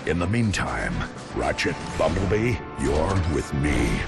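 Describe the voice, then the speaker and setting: An actor playing a noble male robot leader, calm and commanding, in a video game cutscene. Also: exaggerated voice. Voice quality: deep gravelly resonant. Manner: measured, authoritative. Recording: loud game music behind.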